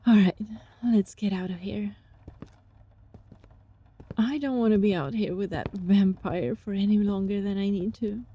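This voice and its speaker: A woman playing a gentle, sly young fox-spirit student in an intimate ASMR roleplay, speaking softly and breathily in a coaxing, teasing way. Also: medium pitch, a little slow, pauses often, highs cut off. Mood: friendly, relieved. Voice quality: soft, breathy.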